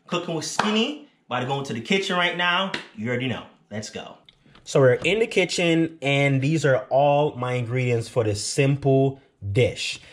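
A young man hosting a casual home cooking vlog, chatty and upbeat, repeating his main points as he talks from his sofa and then from his kitchen. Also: mid-range voice. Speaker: cooking vlogger.

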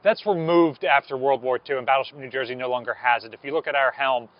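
A man's voice: medium pitch, fast talker, lo-fi sound. An American man in his thirties giving an informal explanatory talk to camera as a museum presenter, outdoors on a lapel mic. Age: 30s. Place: outdoors on a ship's deck. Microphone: clip-on lapel mic.

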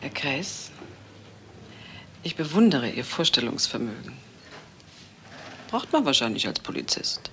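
A woman's voice: rumbling low, very animated, measured pace, pauses often, full character voice. A disdainful wife in a radio crime play. She answers with dry, haughty restraint, with faint noise behind her. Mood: cool, disdainful. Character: cool, disdainful doctor's wife under suspicion. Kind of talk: German radio crime drama acting.

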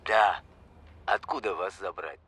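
An actor playing a good-natured, talkative grandfather in an audio drama phone dialogue, gentle and sometimes hesitant. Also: low-pitched, steady tone, measured pace, slight background noise. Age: middle-aged or older.

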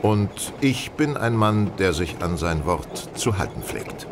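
An actor playing a self-assured male gentleman detective, speaking formally and precisely in a radio play. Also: sing-song, slow, heavily acted. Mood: insistent. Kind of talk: German radio play dialogue. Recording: train rumble behind the voice.

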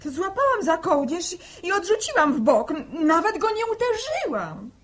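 A plain-spoken farm daughter in a vintage radio serial. She speaks high and with big swings of pitch, fiery and earnest, telling things dramatically. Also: very animated, normal speed. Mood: agitated. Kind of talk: 1960 radio drama acting.

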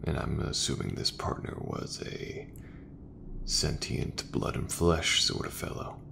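A man playing an easygoing, folksy sci-fi mechanic in a soft, breathy, reassuring ASMR roleplay voice. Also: a bass voice, noisy background, exaggerated voice. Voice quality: gravelly, breathy, half-whispered. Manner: slow, unhurried, reassuring, colloquial. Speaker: voice actor.

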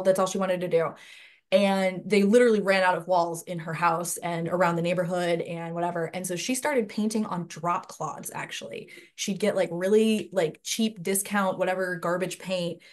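A young adult woman hosting an online panel from a room at home, speaking into a headset mic in a chatty, rambling way. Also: lowish voice. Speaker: host.

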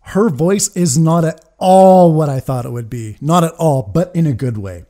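A man's voice: fairly high pitch, expressive, moderate pace, dark tone, faint music behind. A man, giving casual, enthusiastic, sweary commentary as a reaction video host. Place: small room at a desk, indoors. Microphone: large desk microphone, close.

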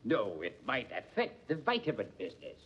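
Wry, pushy police lieutenant, old radio crime drama; animated pitch, husky muffled recording. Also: male voice, moderate pace, heavily acted. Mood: sardonic. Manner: wry, pushy, folksy colloquial phrasing. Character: sardonic, needling police lieutenant. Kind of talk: 1940s radio acting.

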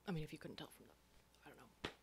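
A woman's voice: low voice, racing, a little hiss or hum. A woman playing a brash, wisecracking zombie-apocalypse survivor in a whispered ASMR horror roleplay, casual, rambling and sweary. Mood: wry, confiding.

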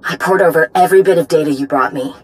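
A woman acting a brisk, proud, no-nonsense warrior in a video game, with a blunt, clipped, dry manner. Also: deep voice, lightly acted, low-quality recording. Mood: urgent.

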